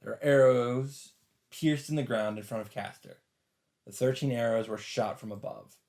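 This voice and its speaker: A man's voice: medium pitch, normal speed, darkish tone. A man hosting a let's play, reading visual novel narration aloud with brief asides. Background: game music.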